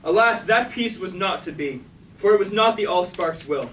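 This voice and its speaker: A man as a bright, high-voiced dramatic chorus member. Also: lively intonation, slight background noise. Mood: solemn, dramatic. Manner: declamatory, deliberate verse delivery. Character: dramatic male Greek tragedy chorus member proclaiming robot legend. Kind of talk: staged reading of verse tragedy. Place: indoor room at a live staged reading. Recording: echoey room from far off.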